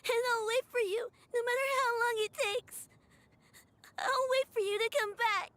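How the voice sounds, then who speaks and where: A woman's voice: extremely high pitch, steady tone, normal speed, a bit bright, dry recording. A woman voicing a small, devoted little girl with an earnest, plaintive, childlike manner in a video game. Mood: tearful, promising.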